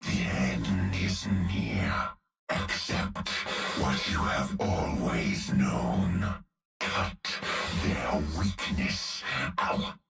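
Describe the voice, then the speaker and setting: An actor voicing a vengeful, menacing male cyborg executioner with a gravelly voice, delivering slow, grim threats. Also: a bass voice, very animated, a bit bright, heavily acted. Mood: seething. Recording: game clip, roomy, heard from a distance with faint music.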